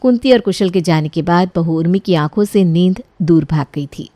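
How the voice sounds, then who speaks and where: A woman's voice: on the low side, moderate pace, mellow, no echo. A woman reading a scripted dramatic story as its narrator.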